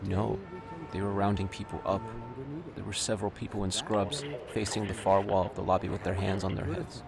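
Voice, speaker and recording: A man's voice: deep voice, flat delivery, brisk, vocal fry, music in the background. A man reading scripted dramatic prose as the narrator of an audio drama. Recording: loud ambient sound effects under narration.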